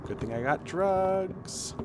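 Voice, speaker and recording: A man streaming a game, giving playful, excitable commentary as he plays. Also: high-pitched, slow pace, noisy background. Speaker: gaming streamer. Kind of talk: let's play commentary.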